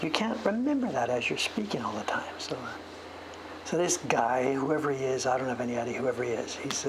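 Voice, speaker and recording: Gravelly man chatting while he carves. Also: medium pitch, background noise. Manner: rambling, self-deprecating, chuckling storyteller. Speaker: streamer and woodblock print carver. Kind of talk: casual livestream chat while carving.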